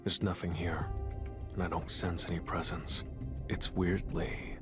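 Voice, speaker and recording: A young monster hunter from a video game, with a low-pitched voice. He speaks tersely and matter-of-factly, like someone used to giving orders. Music plays clearly behind him. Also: flat delivery, measured pace. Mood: cool, composed. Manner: terse, composed, matter-of-fact. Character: cool, decisive young male hunter commanding shadow soldiers. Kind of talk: scripted dramatic game acting.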